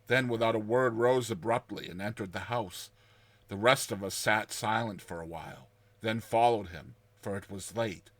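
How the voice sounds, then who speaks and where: A man narrating a classic horror short story in a hushed, grave and measured manner. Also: lowish voice.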